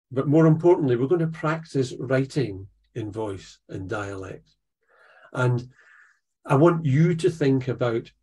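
A man in his sixties with a Dundee Scots accent, a poet leading an online writing workshop over a video call from an attic room at home, thoughtful and unhurried, with frequent restarts. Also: medium pitch, dark tone, husky. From Scotland. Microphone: video call, laptop camera.

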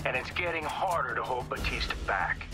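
Smug male villain over loud music actor. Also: mid-range voice, expressive, fairly quick, close to an everyday voice. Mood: smug threat. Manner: sardonic, mocking. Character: smug, taunting male turncoat mercenary villain. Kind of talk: action video game villain acting.

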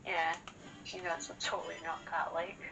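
A woman's voice: lowish voice, flat delivery, normal speed, creaky, poor audio. A woman co-hosting a gaming channel, chatting casually with teasing, slangy banter during a let's play. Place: indoors, in front of a TV. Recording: game music over the talk. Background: video game music.

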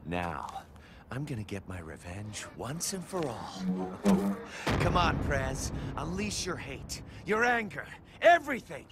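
A man's voice: medium pitch, big pitch swings, slow, very noisy. A man playing a brash, foul-mouthed young assassin with a sardonic streak in a video game cutscene. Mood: vengeful, defiant. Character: cocky, foul-mouthed, hot-blooded young male assassin. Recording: loud music behind the voice.

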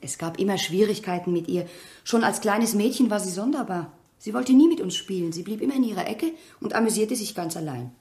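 An actress as a mellow-voiced young village wife. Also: female voice, mid-range voice, animated, fairly quick, lightly acted. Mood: forthcoming. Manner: forthcoming, matter-of-fact. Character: earnest young village wife and anxious mother. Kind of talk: German radio play acting.

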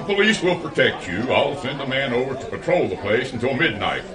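A man's voice: mid-range voice, big pitch swings, brisk, recorded from a distance, crowd noise, close to an everyday voice. A man acting in radio theater as a self-important small-town police chief, officious and folksy. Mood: reassuring, pompous.

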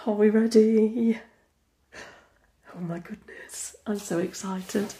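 A woman with a croaky voice from a cold, chatting eagerly through an unboxing. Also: medium pitch, steady tone, slow, dark tone. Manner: chatty and enthusiastic, frequent fillers. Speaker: crafting channel host. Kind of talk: casual unboxing chat. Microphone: camera over a tabletop.

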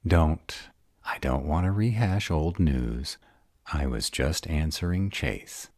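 Guy acting as a sarcastic vampire bad boy, super low slow drawl, audio roleplay. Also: lively intonation, darkish tone, dry recording, clean studio sound, exaggerated voice. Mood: hurt, guarded. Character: sarcastic bad-boy male vampire, guarded but devoted.

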